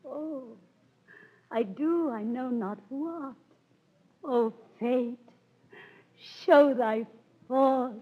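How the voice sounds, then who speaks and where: A woman's voice: sits high, extremely slow, pauses often, breathy edge, heavily acted. A woman acting a lovelorn young noble figure in a declamatory 1930s radio Shakespeare style. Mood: smitten, agitated. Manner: theatrical, declamatory. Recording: dull vintage 1930s radio recording.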